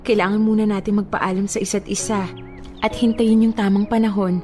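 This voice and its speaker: A woman's voice: mid-range voice, flat delivery, a little fast, warm tone, lightly acted. Actress playing heartfelt grieving woman over music. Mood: sad, firm. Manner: pleading, earnest, heartfelt. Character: grieving woman gently turning away her suitor. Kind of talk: emotional Filipino radio drama acting.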